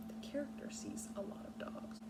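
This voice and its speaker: A woman leading a read-aloud lesson for young children, speaking patiently in simple, deliberate, instructional phrases. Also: in a whisper. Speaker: kindergarten teacher reading a picture book aloud.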